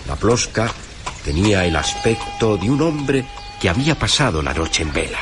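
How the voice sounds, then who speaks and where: A man narrating a literary radio drama as a storyteller, with wry, formal and deliberate phrasing. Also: on the low side, sing-song. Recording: music playing behind the narration.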